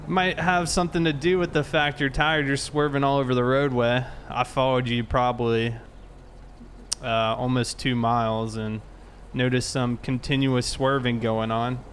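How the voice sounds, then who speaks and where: A man's voice: mid-range voice, little pitch movement, moderate pace, a bit bright. Guy playing a cop in a game, explains stuff casually, bit of vehicle noise behind. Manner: conversational, explanatory. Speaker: player roleplaying a police officer. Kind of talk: casual roleplay narration and dialogue.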